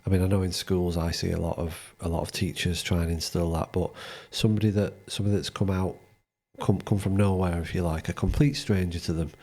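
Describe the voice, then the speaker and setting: A man's voice: sits low, flat delivery, fairly quick, darkish tone. A man hosting a podcast, asking thoughtful, searching questions and restarting his phrases. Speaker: podcast host. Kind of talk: podcast interview.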